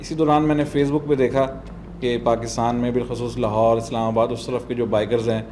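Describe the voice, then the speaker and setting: A man's voice: mid-range voice, level tone, fairly quick, a little mellow, a little hiss or hum. A middle-aged man with a southern Punjab Pakistani accent, telling his story conversationally as a guest in an interview. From Multan, South Punjab, Pakistan. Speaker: podcast guest, doctor and motorbike traveller.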